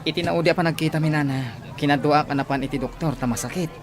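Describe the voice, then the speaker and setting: A quick-talking young man, acted by a man with a slightly high voice and an Ilocano Filipino accent. He is polite and informative, in radio drama style, over busy crowd noise. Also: close to an everyday voice. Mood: respectful. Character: younger man respectfully informing an elderly woman. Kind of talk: Ilocano audio drama acting. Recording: busy crowd noise behind, distant timbre.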